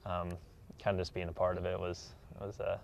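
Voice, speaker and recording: Low-voiced young American man, earnest athlete. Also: flat delivery, moderate pace, vocal fry, a little hiss or hum. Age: 20s. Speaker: track athlete being interviewed. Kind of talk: casual interview.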